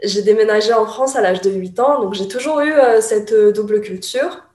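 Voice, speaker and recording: A woman's voice: medium pitch, normal speed, a little mellow. French-Taiwanese woman, roomy sound. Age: young adult. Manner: thoughtful, with many hesitations. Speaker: guest. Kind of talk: casual chat.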